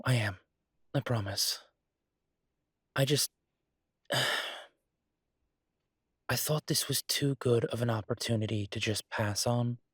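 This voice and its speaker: A man playing an easygoing, earnest chef boyfriend in an intimate roleplay audio drama, talking casually and haltingly with a husky, reassuring voice. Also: medium pitch, no room sound, clean studio sound. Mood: gently reassuring.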